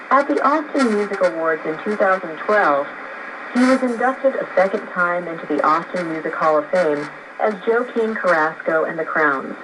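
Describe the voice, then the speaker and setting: Woman, radio host, scripted narration, medium pitch, noisy shortwave radio sound.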